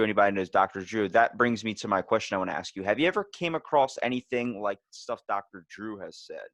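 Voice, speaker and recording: Male podcast host, low voice in a dry close recording, rambling and crude in casual conversation. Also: fairly bright tone, no room sound. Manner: rambling, crude joking anecdotes. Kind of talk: casual interview chat.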